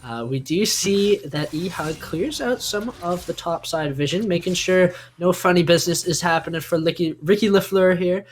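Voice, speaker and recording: A man's voice: sits high, normal speed, few pauses, slight background noise. A man giving live analytical commentary on an esports match in a conversational, explanatory way.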